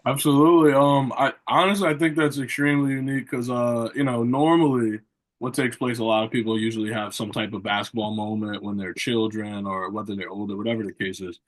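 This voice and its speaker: A male host of a sports interview show. He talks at an average pitch and asks long, rambling questions, repeating phrases and leaning on "you know" and "um". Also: little pitch movement, brisk. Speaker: podcast host. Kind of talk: interview hosting.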